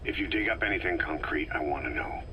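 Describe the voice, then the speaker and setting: An actor playing a grim soldier commander who speaks in a terse, guarded way in game dialogue. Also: male voice, rumbling low, animated, fast, sharp tone, slight background noise, full character voice.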